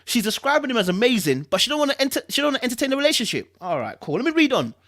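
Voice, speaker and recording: A man hosting a dramatic book reading, mixing narration with animated, chatty commentary and repeating phrases for emphasis. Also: very high-pitched, very fast. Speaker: host reading a book aloud.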